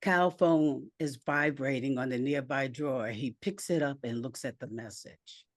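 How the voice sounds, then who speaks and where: A woman narrating a screenplay aloud with deliberate, measured phrasing. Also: a bass voice, dry recording, studio quality.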